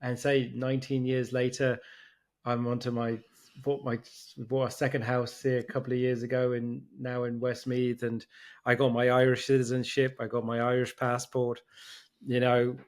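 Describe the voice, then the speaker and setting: Middle-aged man from Germany, podcast host, mid pitch, chatty with many restarts. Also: monotone, normal speed. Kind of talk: casual interview conversation.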